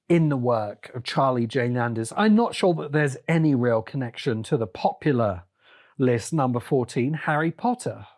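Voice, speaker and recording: A man hosting a solo podcast, giving opinionated commentary in deliberate, halting phrases with short bursts of emphasis. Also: medium pitch, darkish tone. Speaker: podcast host.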